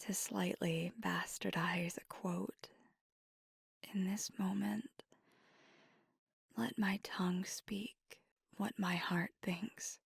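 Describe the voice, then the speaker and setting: A woman acts out a tender, devoted vampire girlfriend for an ASMR roleplay. Her voice is a low, very breathy whisper with a gravelly edge. She speaks haltingly and earnestly, as if nervous to confess. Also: dry recording, clean studio sound, exaggerated voice. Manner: hesitant, halting, earnest delivery. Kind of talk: scripted intimate ASMR roleplay.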